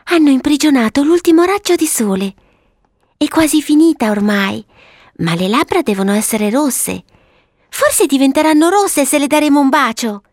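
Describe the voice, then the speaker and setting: A woman voicing a sweet, eager, bossy little girl in a storybook, earnest and excitable as she gives instructions. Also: high-pitched, moderate pace, no echo, studio quality, voice pushed up. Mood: calm, pleased. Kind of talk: storybook character voice.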